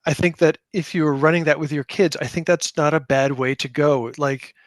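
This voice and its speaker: A man's voice: a little high, steady tone, normal speed, no echo, clean studio sound. A man speaking casually as a guest in a podcast interview about his own work, with a self-deprecating manner. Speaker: podcast guest, tabletop game designer.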